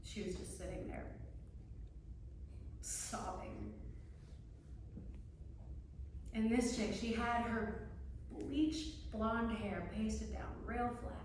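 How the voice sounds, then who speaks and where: A woman's voice: a little high, slow, long pauses. A woman playing a brash, defiant young student who needles her teacher in a sarcastic, slangy stage-play manner. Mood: earnest storytelling. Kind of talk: one-act stage play acting. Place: live stage performance, recorded from afar. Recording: echoey room, distant mic, faint noise.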